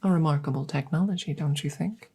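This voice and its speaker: A woman voicing a curious, cautious alien scientist in a soft, hushed ASMR roleplay, speaking formally and deliberately and slipping into whispers. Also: low-pitched, husky, heavily acted. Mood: intrigued, clinical. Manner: measured, formal, deliberate phrasing.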